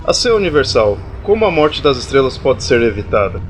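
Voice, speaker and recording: A man's voice: mid-range voice, big pitch swings, brisk, soft background music, lightly acted. A man playing a curious, anxious immortal human mind in an audio drama, earnest and questioning. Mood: troubled.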